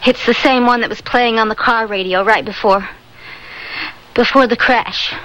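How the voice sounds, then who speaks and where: An actress playing an anxious, frightened young student in a radio drama, speaking earnestly and haltingly. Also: female voice, fairly high pitch, pushed and bright, low-quality recording. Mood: alarmed, insistent. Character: frightened, troubled young woman student haunted by nightmares. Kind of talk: 1970s radio drama acting.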